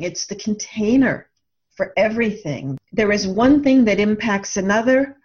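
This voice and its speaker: A woman's voice: mid-range voice, highs cut off. A woman speaking as an author being interviewed, reflective and earnest, telling her story and voicing her own thoughts. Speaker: author and radio drama writer, interview guest.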